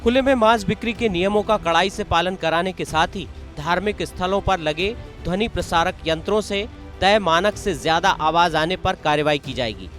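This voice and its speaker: A man's voice: high voice, a bit bright. A man reading scripted news narration in a formal, emphatic way. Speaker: news presenter. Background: background music.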